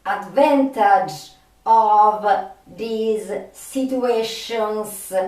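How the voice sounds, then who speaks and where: A woman hosting a video monologue, speaking haltingly and earnestly, with long filled pauses and repeated phrases. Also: medium pitch, darkish tone. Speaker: video host. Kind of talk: rambling monologue to viewers. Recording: roomy, echoey, distant from the mic.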